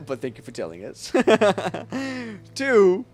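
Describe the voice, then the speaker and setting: A man's voice: sits high, huge pitch swings, extremely slow. A man giving casual let's play commentary, slangy and reactive, talking back to the game. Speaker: gaming content creator. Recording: game music loud behind the voice. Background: video game music.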